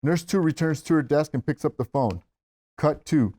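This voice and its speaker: A man's voice: a little high, normal speed, warm tone. A man reading scripted stage directions as narrator at a table read.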